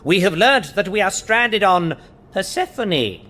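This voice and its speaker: An actor playing a bossy, baffled alien leader in an excitable, self-important way for a radio comedy. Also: male voice, high-pitched, a little slow, full character voice. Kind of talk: radio comedy drama acting.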